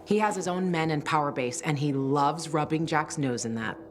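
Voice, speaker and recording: A woman's voice: sits low, moderate pace, slight background noise, natural voice. A woman playing a wry, streetwise adviser in a video game, with a dry, knowing way of talking.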